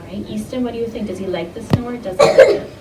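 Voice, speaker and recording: A woman reads and teaches to a class of young children, with a mid-pitched voice and a questioning, instructive manner. She repeats answers back as she leads the story. The recording is roomy and picked up from a distance. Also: fast. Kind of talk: interactive read-aloud lesson to young children. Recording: roomy, distant mic, loud classroom noise.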